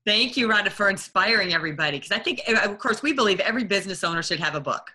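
A woman's voice: medium pitch, lively intonation, fast, pushed and bright. A woman hosting a podcast in a conversational style. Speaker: podcast host, book publisher.

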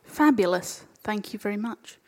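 A woman's voice: mid-range voice, animated, moderate pace, darkish tone. A woman chairing a live panel in an informal, casual way, putting questions to the guests. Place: live event panel in a hall. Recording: echoey hall with long reverb.

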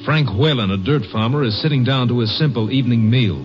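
A man's voice: mid-range voice, quick pace. An American man giving terse, scripted narration for a 1950s radio docudrama. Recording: muffled old radio broadcast. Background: music.

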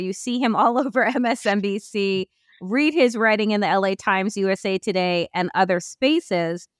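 Clear-voiced woman hosting an interview. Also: mid-range voice, no room sound, studio quality. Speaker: podcast host. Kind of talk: conversational interview.

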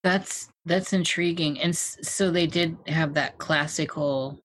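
Woman interviewer, deep voice, attentive, short probing questions. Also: steady tone, a little slow, a bit bright, dry recording.

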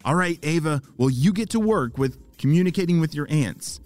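A man plays an encouraging spy-squad boss for kids. The delivery is enthusiastic and swings in pitch, with soft music behind it. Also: animated, fairly quick, lightly acted. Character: upbeat, encouraging male spy-squad chief. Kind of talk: children's audio story character.

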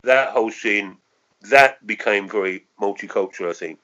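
A man's voice: lowish voice, moderate pace, sharp tone. Reflective older London man, muffled recording. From London, England. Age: 60s. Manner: reflective, hesitant, circling back to qualify points. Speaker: interviewee. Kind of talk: reminiscing in an oral history interview.

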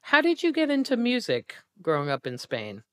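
A woman's voice: on the high side, wildly swooping pitch, moderate pace. A woman hosting an interview show, asking brief, curious questions. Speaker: podcast host.

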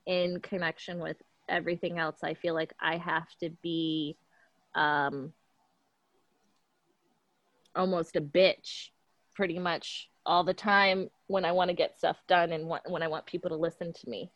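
A young woman with a high, youthful-sounding voice, talking frankly from her apartment on a call, laughing often and swearing casually. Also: flat delivery, a little slow, fairly bright tone, no room sound, muffled recording. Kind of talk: casual chat.

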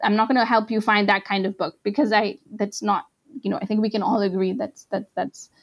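A woman's voice: mid-range voice, steady tone, fairly quick. A woman from Pakistan, a translator guest in an interview, who talks in a chatty, casual way full of fillers. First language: not Arabic. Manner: chatty, full of "like" and "you know".

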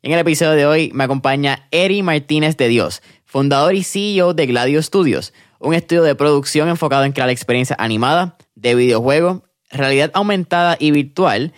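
A man hosting a casual interview podcast, relaxed and tossing English words into his Spanish. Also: on the high side, a little fast, no room sound, studio quality. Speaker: podcast host.

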